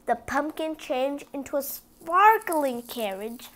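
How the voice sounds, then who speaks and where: A woman's voice: high-pitched, expressive, slow, fairly bright tone. A woman reading a children's story aloud, stumbling now and then over the words. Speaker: storybook reader.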